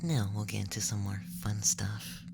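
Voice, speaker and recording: A man voicing a cool, analytical alien scientist in a whispered ASMR roleplay, measured and detached with a sly teasing streak. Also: on the low side, steady tone, vocal fry. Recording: loud background noise and ambience.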